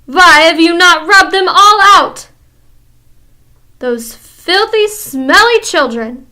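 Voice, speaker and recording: Storybook villain: a tyrannical witch queen, shrieking and commanding, voiced by a woman reader, shrill and bright. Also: expressive, unhurried, heavily acted. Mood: furious. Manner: screaming, commanding, ranting. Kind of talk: audiobook character reading.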